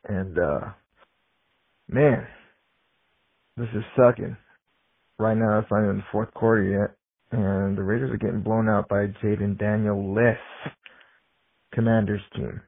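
Frustrated husky caller on phone line. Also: male voice, lowish voice, fairly even intonation, unhurried, long pauses, no room sound. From Southern California. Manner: frustrated, talks in long complaints. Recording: dry tinny phone line.

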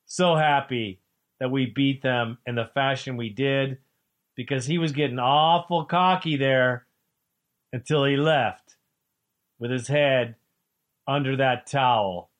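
A man hosting a sports talk radio show, excitable and preachy, trash-talking like a devoted fan. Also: mid-range voice, slow, pauses often.

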